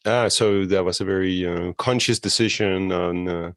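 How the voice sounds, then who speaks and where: A man with a lowish voice hosts a relaxed interview, recorded close and dry with no room sound. He builds his questions in rambling restarts and keeps the talk going with encouraging backchannels. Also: moderate pace, no echo. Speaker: interviewer, host. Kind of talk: casual interview conversation.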